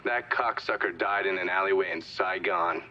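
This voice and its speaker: Defiant, bright-voiced ex-operative. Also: male voice, low voice, moderate pace. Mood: defiant, scornful. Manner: wry, evasive, contemptuous. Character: defiant, foul-mouthed male ex-operative testifying. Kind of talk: testimony, game cutscene acting. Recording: dull lo-fi sound, faint noise.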